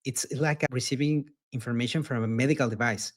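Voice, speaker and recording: Mexican-accented founder, earnest and repetitive. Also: male voice, medium pitch, steady tone, brisk. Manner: earnest, repeats words, non-native phrasing. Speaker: startup founder guest. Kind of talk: casual interview answers.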